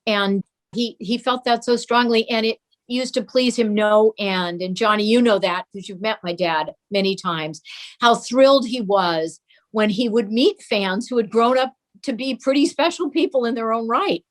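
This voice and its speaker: A woman's voice: on the high side, normal speed. An older woman reminiscing casually as an interview guest.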